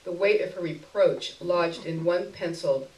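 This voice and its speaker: A woman giving an expressive live reading of a first-person short story, in a literary narration style. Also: on the low side, moderate pace. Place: indoor stage before an audience. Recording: roomy live stage recording, distant mic.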